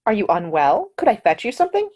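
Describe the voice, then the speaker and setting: A woman's voice: mid-range voice, wildly swooping pitch, fast, darkish tone, muffled recording, voice pushed up. A woman voicing a cheerful, talkative, well-bred young Englishwoman traveller in an audiobook, eager and solicitous, with an upper-class English accent. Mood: concerned.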